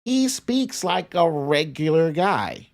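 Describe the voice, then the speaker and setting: A man's voice: a little high, sing-song, takes it slowly, creaky. A man with an American accent giving casual, opinionated political commentary as a host, informal and emphatic.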